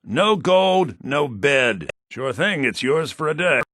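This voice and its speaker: A man playing a sly, grasping shopkeeper in a fantasy video game, with a raspy, theatrical delivery, in low-bitrate compressed audio. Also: sing-song, slow pace, fairly bright tone, no echo, studio quality, exaggerated voice. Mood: sly, wheedling. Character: sly fantasy male shopkeeper, grasping, quick to yell at thieves. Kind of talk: video game character barks.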